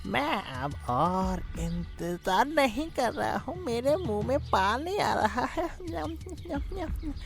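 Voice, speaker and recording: A woman voicing a sly, greedy male cartoon fox who gloats and cackles theatrically in a drawn-out way. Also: on the high side, lively intonation, vocal fry, over music, exaggerated voice. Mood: greedy glee. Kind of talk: cartoon moral story voice acting.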